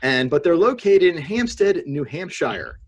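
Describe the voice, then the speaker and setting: A man's voice: on the high side, very animated, a little slow, noisy background. An American man co-hosting a podcast in casual, wry, teasing chat on a video call. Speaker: podcast co-host. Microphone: video call microphone.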